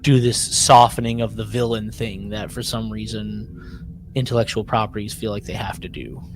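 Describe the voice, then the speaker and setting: A man co-hosting a podcast in a casual recap style, haltingly repeating and rewording his phrases. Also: lowish voice, noisy background. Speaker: podcast co-host.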